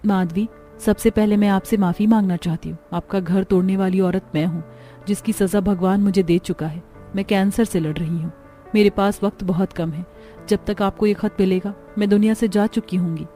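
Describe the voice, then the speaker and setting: A woman's voice: mid-range voice, steady tone, normal speed, mellow, soft background music, natural voice. A woman voicing a remorseful dying mother's last letter in a cartoon story, solemn and pleading. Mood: sorrowful regret.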